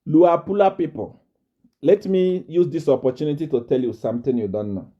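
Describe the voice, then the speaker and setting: A man from Zambia preaching a fiery live-stream address, repeating himself and rising to shouts and laughter. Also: a little high, brisk, dull audio.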